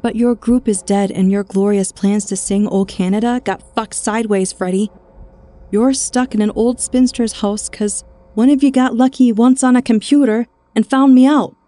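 A woman voicing an older spinster who is sharp-tongued, profane and quick to snap. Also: a little high, natural voice. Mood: mocking. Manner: profane, cutting, snapping.

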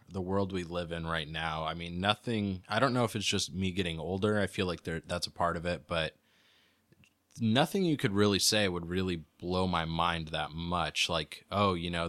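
Young man for podcast chat. Very deep but bright voice, unfazed deadpan, mild sniffle. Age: young adult. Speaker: podcast co-host or guest. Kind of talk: casual chat.

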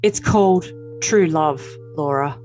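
A woman's voice: sits low, slow pace, darkish tone, soft background music. A woman voicing an earnest, defensive, lovesick young man in a dramatic reading of text messages. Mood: defensive, boastful. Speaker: podcast cast reader.

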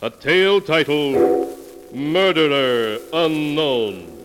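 A portentous 1940s radio mystery narrator, speaks slowly and solemnly in a long, cavernous reverb. Dramatic music plays clearly behind him as he delivers each phrase with theatrical menace. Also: fairly high pitch, animated. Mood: ominous, solemn. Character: ominous, portentous male narrator of mystery tales. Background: loud dramatic music.

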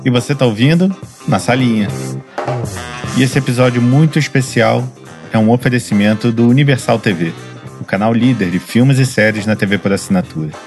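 A man's voice: medium pitch, a little fast, over music. A man hosting a podcast with a Brazilian Portuguese accent, reading an upbeat intro and then chatting casually with his guest in a studio. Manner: upbeat, chatty, laughs easily. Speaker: podcast host.

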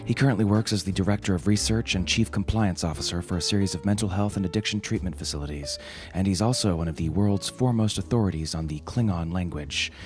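A man's voice: low voice, darkish tone. A man hosting a podcast, reading scripted comic satire and introductions in a wry, theatrical way. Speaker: podcast host.

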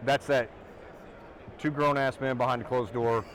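A man's voice: mid-range voice, fairly even intonation, moderate pace, fairly bright tone, a little hiss or hum. A man hosting a podcast interview, rambling through his questions and swearing casually. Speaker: podcast host. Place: trade show booth, outdoors.

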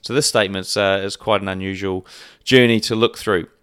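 A chatty host, his voice sitting a little low. He talks in a loose, friendly way, full of ums and restarts. His voice comes through smooth and dry, close to the mic. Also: fairly even intonation, brisk, a bit bright, no echo. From New Zealand. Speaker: podcast host. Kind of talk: casual interview chat.

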